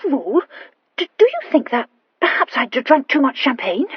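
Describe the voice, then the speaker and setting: A woman's voice: sits high, very animated, moderate pace, no room sound, dull audio, pitched above the performer's own voice. A woman voicing an impulsive, anxious young aristocratic wife who stammers and gushes earnestly in an audiobook story. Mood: sheepish, earnest. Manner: hesitant, gushing confession with emphatic outbursts.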